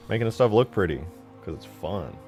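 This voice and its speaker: A man's voice: lowish voice, lively intonation, brisk, music in the background. A man chatting informally on a gaming livestream, thinking aloud as he works. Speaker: game modder and streamer. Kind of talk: casual livestream chat.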